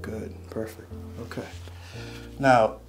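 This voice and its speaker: A man's voice: lowish voice, fairly even intonation, slow pace, music in the background. A middle-aged man talking casually and reassuringly as a chiropractor while he treats a patient in a small room. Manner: reassuring, checking in often.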